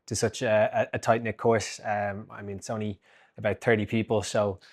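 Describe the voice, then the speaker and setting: A man in his twenties, a graduate student, giving a reflective testimonial with frequent pauses and fillers. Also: fairly low pitch, little pitch movement. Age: 20s.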